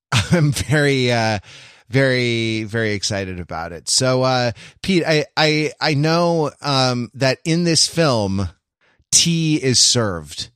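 A man's voice: mid-range voice, lively intonation, slow pace, a bit bright, smooth, no room sound, studio quality. A man hosting a casual film discussion podcast, speaking in a wry way with mock-formal asides. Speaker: podcast host.

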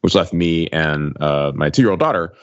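A man in his thirties co-hosting a podcast, telling personal stories in a measured, self-deprecating, casual way. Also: low-pitched, no echo. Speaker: podcast co-host. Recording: slightly dull, lo-fi microphone sound.

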